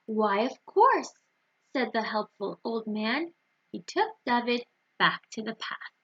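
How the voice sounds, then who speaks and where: A woman storyteller reading a children's storybook aloud in a deliberate, expressive way for young listeners. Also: on the high side, husky, highs cut off.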